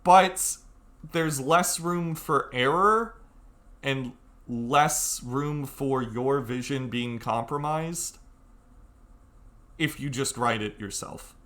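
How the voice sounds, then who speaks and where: A young adult man speaks at a medium pitch as he hosts a tutorial. His delivery is conversational and frank, leaning hard on key words to make his advice clear. He sounds like someone talking straight to viewers from his own room. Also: slow, a bit bright. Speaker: tutorial host. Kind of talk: informal tutorial talk to viewers.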